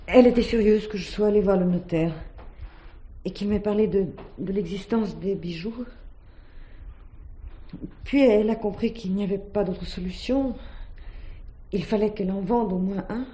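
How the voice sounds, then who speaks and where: In an echoey room, an actress plays an earnest young woman being questioned. Her soft-edged, mellow voice is careful, deferential and slightly hesitant, in 1970s radio drama style. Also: mid-range voice, normal speed, long pauses, natural voice. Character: earnest young female student, niece under questioning.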